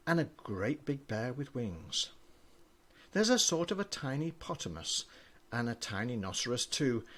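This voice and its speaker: An older man, a grandfather, reading children's poems aloud with an expressive storybook delivery. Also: medium pitch.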